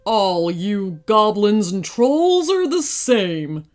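A huge, bitter dragon guarding against thieves, as a woman storyteller puts it on. The speech is snapping and emphatic, drawn out very slowly with big swings in pitch. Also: expressive, soft background music. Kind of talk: children's storybook character voice.

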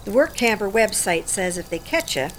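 A woman's voice: mid-range voice, sing-song, normal speed, a little hiss or hum, close to an everyday voice. An actress playing a proud, weary older American working woman in an audio drama, defensive and sardonic, with blunt folksy talk. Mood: resigned, uneasy.

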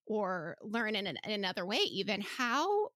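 A woman's voice: mid-range voice, sing-song, normal speed, a bit bright, dry recording, clean studio sound. A woman hosting a podcast interview in a casual, informal way, often checking in with "right?". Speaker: podcast host.

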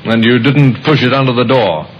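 An actor playing a shrewd, stern police inspector in an old-time radio mystery, with a dry, measured, insinuating manner. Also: male voice, medium pitch, lightly acted. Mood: dry, suspicious. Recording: muffled, noisy vintage radio recording.

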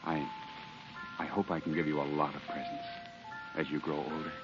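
Polite young dad w a deep voice, old radio show, kinda hesitant, music behind. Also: male voice, level tone, normal speed. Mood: tender, confessional. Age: young adult. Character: polite, earnest tall young father. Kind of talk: 1940s radio drama acting. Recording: muffled vintage radio recording with music behind.

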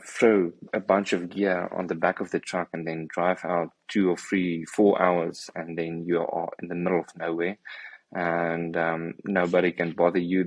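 South African man, deep even voice, halting delivery with many fillers, dry close sound; casual guest chat. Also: no echo, poor audio. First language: Afrikaans. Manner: hesitant, many ums and uhs. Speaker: guest hunter. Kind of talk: casual conversation.

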